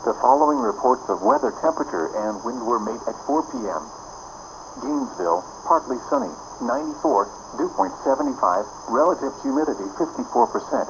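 A man's voice: mid-range voice, vocal fry. A man with an American accent reading a formal, clipped, scripted weather bulletin over the radio. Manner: formal, clipped list-like delivery. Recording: noisy radio broadcast picked up in a room.

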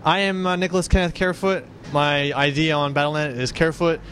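Chatty young man, slightly high voice. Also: fairly even intonation, fast, fairly bright tone, a little hiss or hum. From Canada. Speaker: guest, gamer. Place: small restaurant. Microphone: handheld mic.

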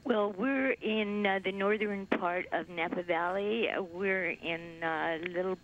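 An older woman, a winery owner, speaking haltingly with many pauses and fillers as an interview guest. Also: medium pitch, lo-fi sound, a little hiss or hum. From Southern California.

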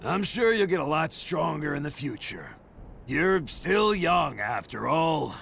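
A world-weary, boozy martial arts master from a video game. His voice is highish and gravelly, with lively swings as he grumbles casually. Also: a little slow, slight background noise, full character voice. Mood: weary scolding. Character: world-weary, hard-drinking male martial arts master, gruffly kind. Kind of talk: video game cutscene acting.

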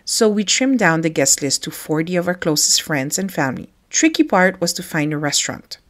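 A woman's voice: sits low, big pitch swings, brisk. A middle-aged woman from the Philippines, hosting a podcast, telling a personal story in a chatty, reflective way with light laughs. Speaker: podcast host.

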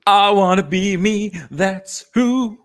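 A man's voice: high voice, takes it slowly. A young man playing a cocky space smuggler in a comic table read, emphatic and mock-serious. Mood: defiant. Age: young adult. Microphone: close desk microphone with headset.

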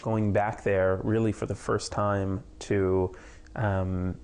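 A man's voice: fairly low pitch, flat delivery, slow, a little mellow, clear, resonant tone. A man in his thirties, a film producer, answering interview questions hesitantly with many fillers, in a home office on a lapel mic. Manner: hesitant, many ums and you-knows.

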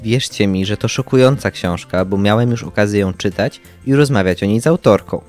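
A man's voice: lowish voice, fairly quick, a little mellow, faint music behind. A young man co-hosting a podcast, giving scripted book recommendations. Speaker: podcast co-host.

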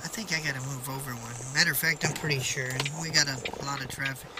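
A man's voice: medium pitch, steady tone, fast talker. A man driving a truck, chatting casually to his viewers as he goes. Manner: chatty, talks to viewers and other drivers as he goes. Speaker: truck driver vlogger. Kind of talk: casual narration while driving. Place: inside a moving truck cab. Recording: truck cab with engine and road noise.

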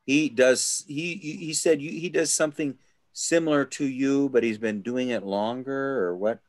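A man leading a study group over a video call, asking questions in a halting way with many restarts. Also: mid-range voice, steady tone, dry recording. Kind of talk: casual group discussion.

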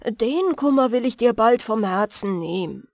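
A woman's voice: fairly high pitch, expressive, a little slow, darkish tone, no room sound, studio quality. A woman reading the part of an adventurous, earnest young huntsman in a fairy tale. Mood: reassuring. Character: young male huntsman, adventurous, lovestruck and eager.

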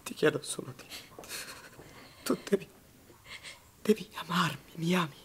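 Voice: medium pitch, unhurried, close to an everyday voice. An actor dubbing a young man desperately in love in a period film drama, pleading, stubborn and intense. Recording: film dub with faint background noise.

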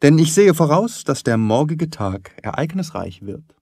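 A man voicing a shrewd, confident, courteous gentleman detective in an audiobook, formal, articulate and lightly patronising. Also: on the high side, very animated, moderate pace, no echo, studio quality. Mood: composed. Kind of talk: audiobook character reading.

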